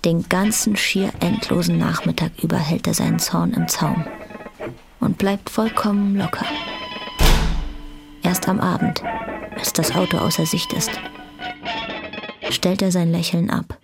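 A woman's voice: fairly low pitch, big pitch swings, measured pace, creaky, long pauses, over loud music. A woman narrating a German radio drama from a script.

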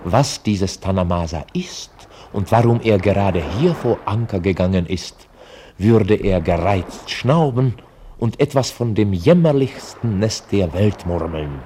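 An actor playing a reflective novelist who narrates his own story in a radio drama, measured and deliberate. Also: fairly low pitch, expressive, mellow, a little hiss or hum. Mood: storytelling. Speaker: author-narrator.

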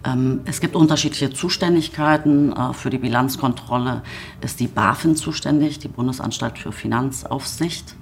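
A woman politician gives careful, hesitant interview answers in a gravelly voice full of vocal fry. There is faint noise in the recording. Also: a bass voice. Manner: hesitant, halting, guarded. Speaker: government politician being interviewed.

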